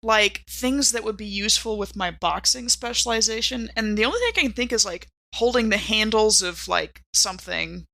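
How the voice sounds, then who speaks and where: Female tabletop player, bright forward voice, playful casual improv, dry close-miked sound. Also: medium pitch, lively intonation, moderate pace, creaky, hardly any pauses, no echo. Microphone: close studio microphone.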